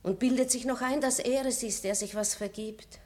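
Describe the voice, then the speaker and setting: An actress playing an aging noble princess in a radio play, melancholy and tender. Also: female voice, a little high, moderate pace, a little mellow, natural voice. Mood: bitter, wistful. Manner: melancholy, drops to a whisper in reflection. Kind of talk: 1960s radio play acting.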